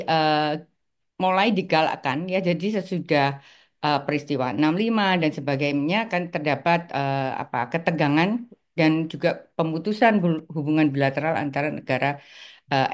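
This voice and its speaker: A woman in her sixties from East Java, a guest recalling her family's past in an interview, pausing often with fillers. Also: deep voice. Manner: hesitant, many filler pauses. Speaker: guest, article author. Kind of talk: interview, personal recollection.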